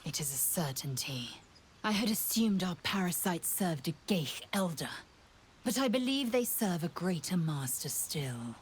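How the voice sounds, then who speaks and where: A woman's voice: on the low side, very animated, measured pace, slight background noise. An actress playing a stern, zealous alien warrior woman in a video game, speaking in a clipped, formal, grave manner. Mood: grave, intent. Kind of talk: video game character dialogue.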